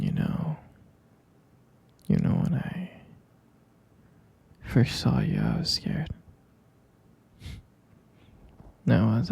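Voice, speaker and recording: Guy doing a tender half-vampire boyfriend for asmr, sleepy breathy murmur, super crackly, keeps repeating himself softly. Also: a little high, heavily acted. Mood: tender, nostalgic. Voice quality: breathy, gravelly whisper and murmur. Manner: slow, hesitant, repeating phrases, affectionate. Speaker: ASMR roleplay performer. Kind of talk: intimate ASMR boyfriend roleplay.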